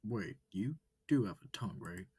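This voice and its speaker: A man's voice: on the low side, lively intonation, normal speed, a little mellow, husky, no echo. A man voicing a curious, eager alien wolf man from a visual novel, with hesitant, questioning delivery. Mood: friendly, concerned. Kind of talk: visual novel character reading.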